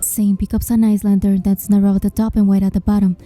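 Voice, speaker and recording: A woman's voice: mid-range voice, little pitch movement, fast, mellow. A woman reading scripted first-person story narration as the heroine of a tale. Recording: music under the voice.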